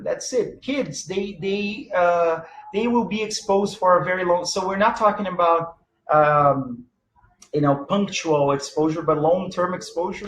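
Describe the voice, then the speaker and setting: Man, native Portuguese speaker, teacher lecturing, higher voice, animated, lots of fillers, echoey room. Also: a little hiss or hum. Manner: animated and searching, with frequent fillers and restarts. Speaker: teacher giving a talk.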